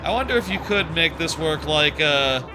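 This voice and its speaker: A man's voice: a little high, expressive, moderate pace, noisy background. A man casually chatting while streaming a game, rambling with lots of fillers, a bit sniffly with a sore throat. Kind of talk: casual gameplay commentary. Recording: game music playing behind. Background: game music.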